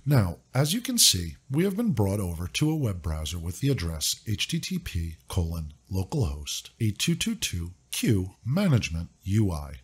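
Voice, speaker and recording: A man narrating a scripted software walkthrough in a clear, upbeat, step-by-step instructive way. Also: low-pitched, wildly swooping pitch, moderate pace, few pauses. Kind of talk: scripted screen-recording walkthrough.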